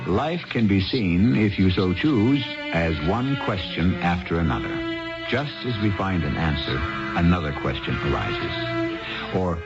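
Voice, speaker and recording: A man hosting and narrating a 1970s radio drama in a deliberate, theatrical, musing way. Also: deep voice, very animated, exaggerated voice. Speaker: host and narrator. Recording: dull lo-fi 1970s radio broadcast. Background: dramatic music.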